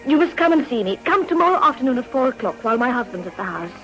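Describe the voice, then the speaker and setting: A guarded, genteel politician's wife in a British period drama. Her upper-class English voice is on the high side and moves freely in pitch, always formal and expressive. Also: rapid-fire, soft background music, low-quality recording. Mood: shocked, urgent.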